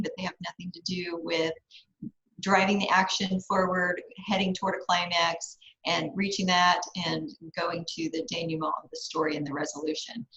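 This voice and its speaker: A woman's voice: lowish voice, a bit bright. A woman explaining points as a podcast panelist in a conversational discussion. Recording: dull, roomy remote audio.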